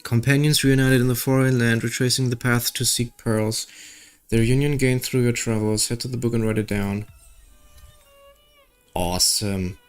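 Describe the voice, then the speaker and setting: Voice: mid-range voice, fairly even intonation, normal speed, smooth. Casual gamer commenting over background music. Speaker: gaming streamer. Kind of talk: casual game playthrough commentary, reading dialogue aloud.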